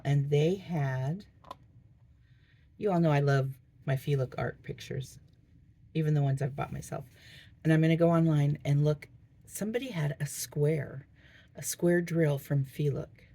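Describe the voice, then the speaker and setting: Hoarse low-voiced woman chatting while crafting. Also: warm tone. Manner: rambling, chatty, thinking aloud with fillers. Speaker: diamond painting crafter. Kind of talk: casual crafting vlog chat.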